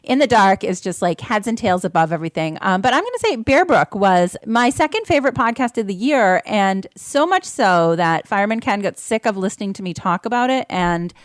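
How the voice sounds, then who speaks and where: A woman's voice: medium pitch, expressive, fairly quick, few pauses, no echo. A woman speaking as a panelist in a casual podcast chat.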